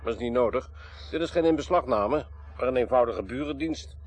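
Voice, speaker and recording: An actor playing a grumbling, wry detective sidekick in a radio drama, talking in a dry colloquial way. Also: male voice, mid-range voice, fairly quick, darkish tone, natural voice.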